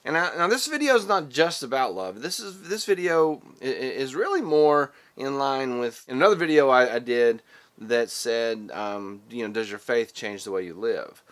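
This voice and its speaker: A middle-aged man talking casually and reflectively to camera, halting and earnest as he thinks out loud. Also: mid-range voice, lively intonation. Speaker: vlogger and teacher.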